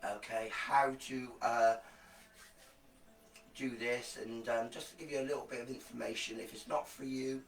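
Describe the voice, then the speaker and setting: A man's voice: medium pitch, steady tone, moderate pace, reverberant, distant mic, poor audio. A man with a groggy voice, chatting casually and effusively to viewers of his live stream, rambling with many fillers and repeated words. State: groggy voice after illness. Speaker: live streamer promoting an online program.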